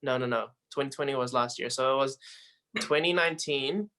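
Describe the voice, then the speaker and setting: Kiwi-guy, bright tone, relaxed and rambly, calling in from home. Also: mid-range voice, brisk. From Philippines. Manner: easygoing, rambling storyteller. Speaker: podcast guest. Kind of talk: casual interview chat. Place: home in quarantine, remote.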